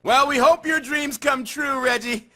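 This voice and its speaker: A man's voice: very high-pitched, a little slow, background noise. An actor playing a male bird hosting a sports TV show with upbeat announcer delivery. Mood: announcing.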